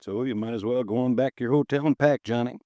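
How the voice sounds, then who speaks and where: A man's voice: medium pitch, fast talker, dark tone, no room sound, studio quality, lightly acted. A man playing a grumbling insurance agent. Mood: worried. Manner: blunt, grumbling. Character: worried male insurance agent, gruff and blunt. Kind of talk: 1950s radio drama acting.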